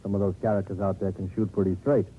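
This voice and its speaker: An American actor playing a folksy, wry insurance adjuster in a 1950s radio drama. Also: male voice, low-pitched, flat delivery, fast, lightly acted. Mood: cautioning, matter-of-fact. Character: folksy, wry male insurance bureau adjuster handing out assignments. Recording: tinny old radio, faint noise.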